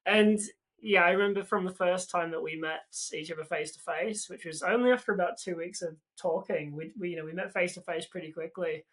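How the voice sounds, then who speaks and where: A man's voice: sits high, fairly quick, a bit bright, poor audio. A man with an English accent, telling stories casually as a podcast guest, repeating words as he goes. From England. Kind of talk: casual storytelling.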